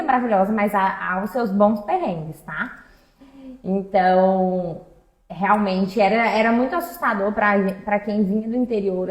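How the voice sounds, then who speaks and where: A woman chatting casually and expressively, telling personal anecdotes and checking in with listeners. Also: mid-range voice. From interior of Minas Gerais, Brazil. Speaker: exchange agency staff member co-hosting a talk. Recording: echoey room.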